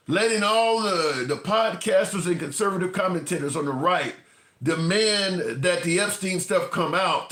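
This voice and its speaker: A man's voice: sits high, fairly bright tone. Blunt, profane man in an echoey room. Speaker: political commentator host. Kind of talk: casual political chat.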